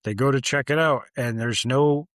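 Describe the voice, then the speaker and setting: A man's voice: medium pitch, moderate pace, fairly bright tone, dry recording. A man co-hosting a podcast, talking casually in a blunt, dry, sarcastic way. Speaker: podcast co-host. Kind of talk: casual chat.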